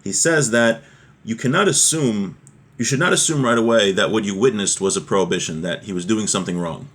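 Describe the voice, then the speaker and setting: A man's voice: fairly low pitch, fairly quick, fairly bright tone, breathy edge. A man teaching an informal class on religious law, explaining a text step by step with everyday examples.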